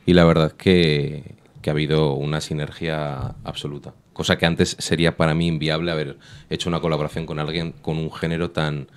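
Guest rapper, Spanish accent, very low voice, unhurried studio interview. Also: male voice, little pitch movement, clear, resonant tone. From Spain. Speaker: rap artist guest. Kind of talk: interview answers. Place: radio studio. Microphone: studio microphone.

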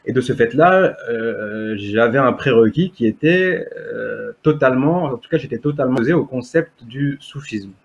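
Man, Berber native speaker, husky voice, hesitant, lots of fillers, muffled video-call audio. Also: mid-range voice, expressive, moderate pace, hardly any pauses. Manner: hesitant, many fillers and restarts. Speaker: interview guest, disciple. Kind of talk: testimony in conversation. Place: home room, indoors. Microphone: webcam on video call.

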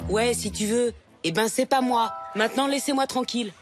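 A woman voicing a defiant, gruff schoolboy in a French children's cartoon, with a brusque, slangy, defensive manner. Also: on the high side, moderate pace, over music, natural voice. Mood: annoyed, defiant. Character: defiant, gruff schoolboy who loves birds.

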